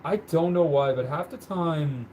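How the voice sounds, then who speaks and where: A man's voice: a little high, unhurried, darkish tone, a little hiss or hum. A man casually chatting on his livestream while he paints, rambling with lots of fillers. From born in the country where he lives. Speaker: livestream host. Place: indoors at home, painting on the floor.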